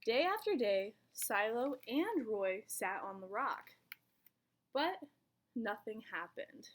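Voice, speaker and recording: A woman reading a children's picture book aloud in an expressive storytelling manner, with dramatic pauses. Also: fairly high pitch. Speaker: volunteer story reader.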